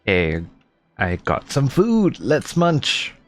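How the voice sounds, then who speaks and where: A man's voice: medium pitch, wildly swooping pitch, drawn-out words, faint music behind. Chatty man doing gameplay commentary, mid-range voice. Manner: chatty, reactive, rambling. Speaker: gaming streamer. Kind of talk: casual playthrough commentary.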